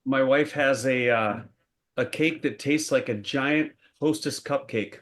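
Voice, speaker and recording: A middle-aged or older man talks casually as a pastor co-hosting a chat show. His voice has an average pitch, and his delivery is conversational. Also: measured pace, a loud crowd. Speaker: pastor, podcast co-host.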